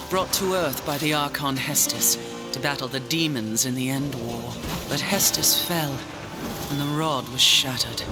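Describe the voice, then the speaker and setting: A man's voice: mid-range voice, close to an everyday voice. A man playing a weary, solemn angel warrior of a fallen order in a video game cutscene, speaking formally and portentously. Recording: loud music under the voice.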